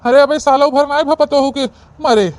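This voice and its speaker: A woman voicing a gruff but soft-hearted old village farmer and husband with an Awadhi accent, in a cartoon comedy. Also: sits high, fairly even intonation, moderate pace, dry recording, close to an everyday voice. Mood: gruff scolding.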